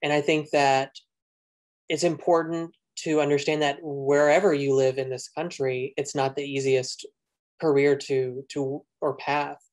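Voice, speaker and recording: A man on a panel who speaks thoughtfully and deliberately. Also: fairly high pitch, level tone. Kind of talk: panel discussion.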